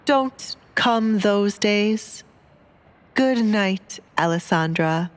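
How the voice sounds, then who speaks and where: A woman voicing an exasperated, flustered male priest in an audio drama, speaking in a deliberate, protesting manner. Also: mid-range voice, expressive, voice pushed up. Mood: inviting.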